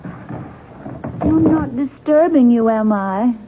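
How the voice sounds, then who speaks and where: An actress playing an earnest, anxious young American wife in a radio drama, direct and probing in manner. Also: female voice, on the high side, animated, very slow. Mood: tentative, probing. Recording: muffled, noisy 1970s radio broadcast.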